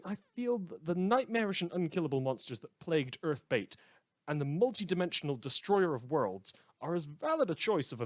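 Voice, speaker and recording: A wry, opinionated radio newsreader in an audio drama, acted by a man, with a somewhat high voice that rises and falls dramatically. He delivers scripted bulletins in a theatrical, dryly ironic announcer style. The sound is close and dry. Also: no room sound. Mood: indignant, earnest. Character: wry, opinionated male host of post-apocalyptic community radio. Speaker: radio host.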